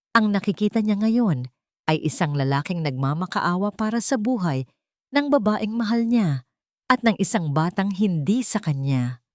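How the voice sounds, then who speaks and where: Low-voiced Filipino woman narrating drama. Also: darkish tone, no echo, clean studio sound. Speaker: storyteller. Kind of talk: dramatic scripted romance narration.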